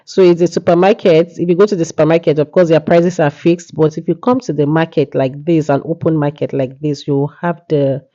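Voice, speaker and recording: A woman's voice: low voice, fairly even intonation, fairly quick, few pauses, dark tone. Woman, congested from a cold, chatty vlog narration, muffled recording. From Nigeria. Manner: chatty, leans on "yeah" and "you know". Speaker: vlogger. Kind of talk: casual market vlog narration.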